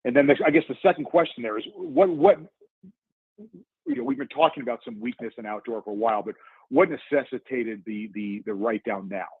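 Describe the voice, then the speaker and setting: A husky-voiced man works as an analyst questioning executives on an earnings call. His phrasing starts and stops, with lively swings in pitch, and he comes through a narrow telephone band. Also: brisk, no echo. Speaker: financial analyst asking questions. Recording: narrowband phone line, dry.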